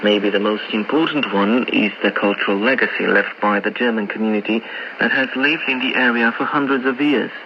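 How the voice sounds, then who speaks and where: A man's voice: fairly low pitch, quick pace, a crowd in the background. A man reading a scripted voice-over translation, heard over shortwave radio. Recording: noisy, muffled shortwave AM radio reception.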